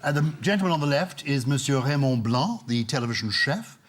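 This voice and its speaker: A man's voice: medium pitch, expressive, a little fast, slight background noise. An older man on a comedy panel show, mock-indignant and chuckling. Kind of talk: comic panel show banter.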